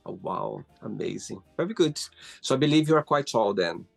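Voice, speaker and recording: Male interview host, gravelly, husky, encouraging, casual, interested exclamations. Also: on the low side, lively intonation, normal speed, faint music behind.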